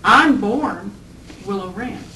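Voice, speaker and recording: A woman speaks earnestly in casual talk with a small group. Her bright voice sounds off-mic in an echoey room, with faint tape hiss. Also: mid-range voice, expressive, slow, recorded from a distance. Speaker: group participant. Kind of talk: casual conversation.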